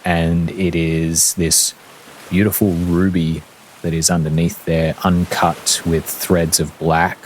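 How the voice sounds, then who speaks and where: A man narrating a tabletop fantasy game as game master, measured and descriptive, with deliberate pauses. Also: deep voice, little pitch movement, slight background noise, low-quality recording.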